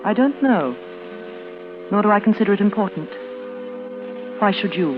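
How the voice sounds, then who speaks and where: An actress playing a cold, bitter, reclusive twin sister with a clipped, severe manner in an old-time radio suspense drama. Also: female voice, medium pitch, lively intonation, normal speed, natural voice. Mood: curt, dismissive. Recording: noisy, tinny vintage radio broadcast.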